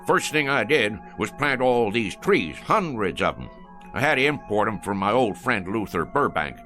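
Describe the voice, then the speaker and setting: An actor playing a boastful, folksy old American gas station owner in a radio drama, rambling and fumbling for words. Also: male voice, on the low side, very animated, moderate pace, bright, forceful tone, faint music behind. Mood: folksy bragging.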